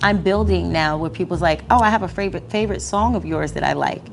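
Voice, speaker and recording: Young woman interview guest, low gravelly voice, animated and slangy, noisy background. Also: quick pace. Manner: animated, slangy, laughs often. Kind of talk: casual interview chat.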